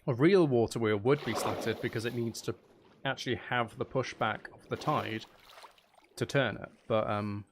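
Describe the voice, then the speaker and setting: Creaky-voiced man rambling on a gaming livestream. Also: medium pitch, moderate pace, a little hiss or hum. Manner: rambling, thinking aloud, talking to viewers. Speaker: livestream host. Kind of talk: casual gaming livestream chat while building.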